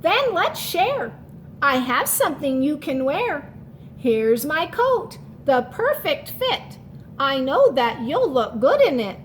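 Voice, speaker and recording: A woman's voice: high-pitched, sing-song, slow pace, slight background noise, heavily acted. A woman voicing eager, helpful young children in a storybook, exclaiming and urging. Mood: kindly offering.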